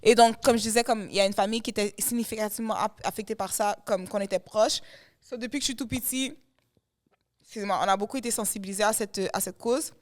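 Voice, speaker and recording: A woman's voice: medium pitch, fairly even intonation, fast talker. A woman, a guest singer chatting animatedly in an interview and mixing in English phrases. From Canada. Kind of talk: casual interview chat.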